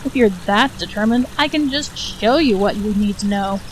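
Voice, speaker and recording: A woman's voice: medium pitch, normal speed, slight background noise, close to an everyday voice, poor audio. A woman playing a scornful, mocking ghost who speaks inside a girl's mind in an audio drama. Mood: coaxing, taunting. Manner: mocking, coaxing.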